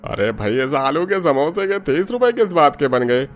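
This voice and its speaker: A man's voice: a little high, big pitch swings, moderate pace. A man voicing an exclaiming, colloquial customer at a street food stall in a Hindi moral-story cartoon. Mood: indignant. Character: haggling, complaining male street-food customer who warms up to good food. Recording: music behind the voice.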